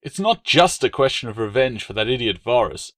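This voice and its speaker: A man's voice: medium pitch, lively intonation, fairly quick, a bit bright, no room sound, clean studio sound, close to an everyday voice. A man voicing a bluff, overbearing Roman legate in an audiobook, with a hearty, pompous, blustering delivery. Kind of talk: audiobook character dialogue.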